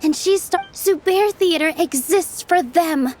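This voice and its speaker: A woman voicing a gentle, earnest young dancer in an anime video game, speaking politely. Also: extremely high pitch, a little slow, a little hiss or hum.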